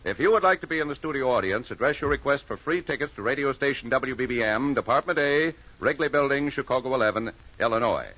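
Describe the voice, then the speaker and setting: Lively 1940s radio announcer man. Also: medium pitch, fast, hardly any pauses, dull audio. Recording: band-limited 1940s radio recording. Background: music.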